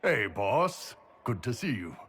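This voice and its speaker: An actor playing a big, jovial mercenary chief in a video game, bluff and husky. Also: male voice, medium pitch, big pitch swings, slow pace, fairly bright tone, full character voice. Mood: hearty, amused.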